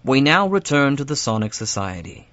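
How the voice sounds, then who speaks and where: A man presents and interviews as a radio show host. His voice sits at an average pitch. The sound is very dry and a little dull. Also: very animated, normal speed, a bit bright, no room sound. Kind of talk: hosting and interviewing. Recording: dry, slightly muffled studio audio.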